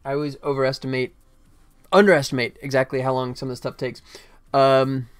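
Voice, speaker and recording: A man's voice: medium pitch, flat delivery, a little fast, smooth, soft background music. A middle-aged man chatting casually and wryly on a livestream. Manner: easygoing, wry, self-deprecating. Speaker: game developer livestreamer. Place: indoor desk, livestream webcam setup.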